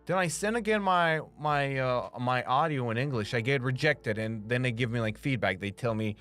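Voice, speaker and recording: A man's voice: mid-range voice, moderate pace, fairly bright tone, clean tone. Young guy w a slight romanian accent rambling on stream, says like constantly, swears a lot, faint music under him. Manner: rambling, heavy filler "like", swears freely. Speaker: streamer and voice actor. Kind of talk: casual chat with stream viewers.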